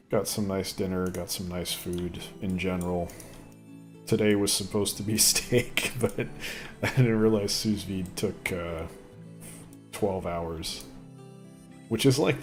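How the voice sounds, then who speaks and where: A man rambling casually while commentating on a gameplay video, with a voice he says sounds deeper since an illness. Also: slow pace, darkish tone, faint music behind. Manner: rambling, chatty, thinks aloud. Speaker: gaming video host. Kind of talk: casual let's play commentary.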